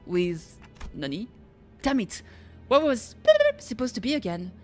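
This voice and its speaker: A woman's voice: mid-range voice, animated, measured pace, darkish tone, faint music behind. A young woman streaming a game, reading the subtitles aloud with playful chatter. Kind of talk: casual gameplay commentary.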